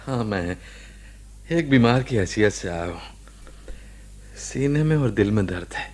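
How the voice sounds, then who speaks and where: A husky male voice plays a sick former boss in a radio play. His pitch moves a great deal as he defends himself. He is halting, earnest and self-justifying. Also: expressive, a little mellow. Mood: uneasy. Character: ailing married former boss, defensive and pleading. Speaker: radio drama actor. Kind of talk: Hindi radio play acting.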